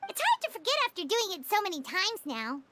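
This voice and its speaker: A woman voicing a tiny, excitable childlike fairy companion in a video game, squeaky and cartoonish. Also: fast, exaggerated voice. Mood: curious. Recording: loud background music over voice.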